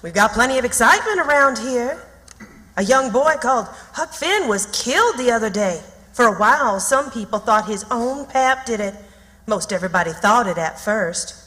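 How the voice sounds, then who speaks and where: A nosy, folksy frontier woman with a Southern accent in a radio drama. Her probing voice is high, bright and animated, ringing in a long hall reverb. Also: moderate pace. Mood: gossipy. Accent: American South. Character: shrewd, folksy frontier countrywoman, motherly and nosy. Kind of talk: radio theatre acting.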